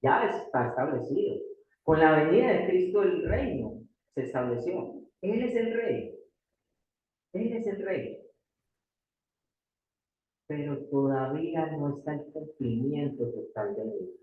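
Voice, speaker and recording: Paisa colombian pastor man preaching, high voice, repeats stuff for emphasis, thin phone-ish sound bouncing round a big room. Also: lively intonation, measured pace, long pauses, heavy reverb, distant mic, narrow, tinny audio. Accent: Colombian Paisa Spanish. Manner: emphatic, repeats key phrases, asks the audience rhetorical questions. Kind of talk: sermon. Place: church hall.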